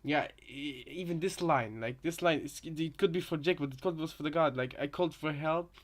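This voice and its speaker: Slightly high-voiced man thinking aloud. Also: normal speed. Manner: hesitant, repetitive, thinking aloud. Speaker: reactor, fan theorist. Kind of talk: casual reaction commentary.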